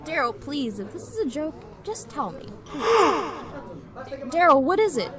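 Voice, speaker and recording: Woman acting an animated, high-voiced devoted radio sweetheart. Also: a little slow, crowd noise. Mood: alarmed. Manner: theatrical, coaxing, questioning. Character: devoted, anxious sweetheart Mary, teasing and pleading. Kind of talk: 1930s radio drama dialogue.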